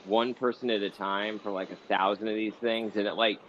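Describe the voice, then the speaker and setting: A man's voice: lowish voice, level tone, brisk, a bit bright, slight background noise. A man hosting a casual interview in an online audio room, asking curious questions in a rambling way. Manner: rambling, inquisitive, frequent "like". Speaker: interviewer, host. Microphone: phone or computer in an online audio room. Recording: dull lo-fi online voice chat.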